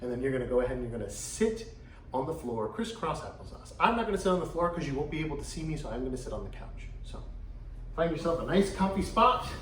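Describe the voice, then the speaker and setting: Male teacher for a kids' pantomime lesson, slightly high voice, lively swooping delivery, instructive and theatrical, at home with music behind. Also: fast, slight background noise, poor audio. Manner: instructive, theatrical, addressing young children. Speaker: drama teacher. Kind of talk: guided children's lesson with expressive storytelling. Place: living room at home.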